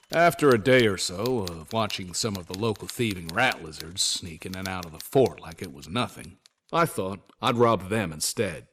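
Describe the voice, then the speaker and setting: A weary male survivor and former soldier from a video game, telling his story as letters. His voice sits on the low side. He talks in a folksy, wry, grumbling way, like a storyteller resigned to bad luck. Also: fairly bright tone, a little hiss or hum. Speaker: voice actor reading journal notes. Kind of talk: dramatic first-person letter narration.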